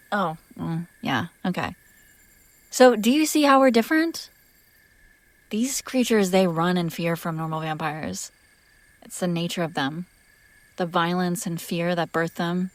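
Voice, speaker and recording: A woman playing an affectionate, teasing and protective young vampire in a roleplay audio drama, speaking in a halting, casual, intimate way that drifts into breathy whispers. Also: fairly low pitch, dry recording, lightly acted. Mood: tender, shy.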